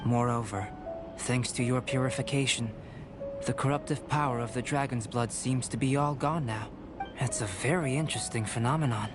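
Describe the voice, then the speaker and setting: Composed young scholar, played by a man: even mid-range voice, measured and formal, over a game score. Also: mid-range voice, music in the background, lightly acted. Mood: curious. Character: calm, aloof young male alchemist, quietly curious. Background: game soundtrack.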